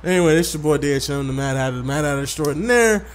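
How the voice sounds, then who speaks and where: A man's voice: on the high side, animated, rapid-fire, a little hiss or hum. A man hosting a gaming video, reading story text aloud in an informal, slangy way with casual commentary. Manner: slangy, informal, repeats phrases when misreading.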